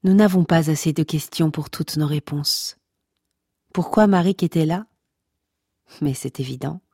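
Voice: mid-range voice, measured pace, a little mellow, husky, no room sound, clean studio sound. Une personne qui joue la narration omnisciente d'une fiction audio comique, pompeuse, théâtrale, taquine avec son auditoire. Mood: teasing, solemn. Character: pompous, mock-scholarly omniscient narrator addressing listeners. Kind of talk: scripted comic fiction narration.

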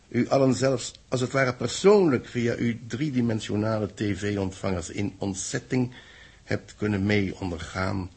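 An actor playing a grave space-mission official in a 1960s radio play, speaking solemnly and deliberately in a husky, gravelly voice. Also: male voice, fairly low pitch, darkish tone, heavily acted. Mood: solemn, declamatory.

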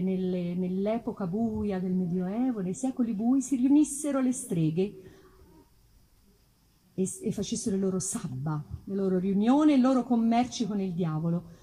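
A woman gives a spoken introduction to a live audience, telling it like a story, with pauses and repeated phrases. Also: mid-range voice, expressive, mellow, a little hiss or hum, low-quality recording. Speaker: choir member introducing a song. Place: live outdoor festival performance.

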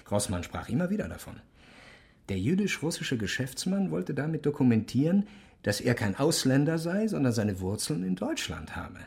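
Fussy, eager official, animated delivery. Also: male voice, moderate pace, gravelly. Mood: self-important. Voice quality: voice not deep despite bulk. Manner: hesitant, stammering, chatty. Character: massive, fussy, eager publishers' association official. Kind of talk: radio drama acting.

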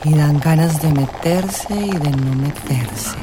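A woman's voice: deep voice, takes it slowly, music in the background. An actress playing a wry, teasing female fortune-teller companion in a radio drama, with a knowing manner.

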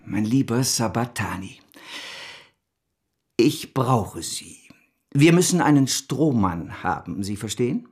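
An actor playing a vain, scheming French banker of about fifty in a German radio drama, slightly husky, brisk and smooth-talking. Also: male voice, mid-range voice, lively intonation. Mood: confidential.

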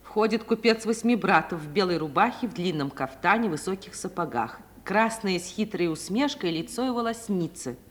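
A woman's voice: mid-range voice, lively intonation, normal speed, hardly any pauses. A woman narrating stage directions in the scripted manner of 1950s Russian radio theatre. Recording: old radio recording, faint hiss.

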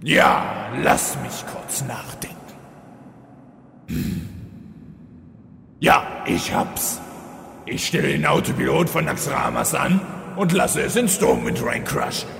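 Gravelly, echoing whiny villain king. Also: medium pitch, lively intonation, fairly bright tone, full character voice. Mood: hesitant, scheming. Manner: melodramatic, drawn-out threats lapsing into petulant whining. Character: theatrical undead villain king, whiny sulking diva. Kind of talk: parody audio drama acting. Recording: echoey hall reverb.

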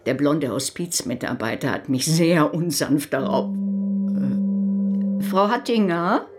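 An actress playing a well-meaning, awkward amateur therapist in a radio play, halting and rambling. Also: female voice, on the low side, expressive, a little mellow. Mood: awkward, hesitant.

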